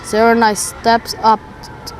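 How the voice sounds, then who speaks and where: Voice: medium pitch, monotone, moderate pace. A school student, female-sounding, reading a story aloud in English. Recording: clearly audible background noise.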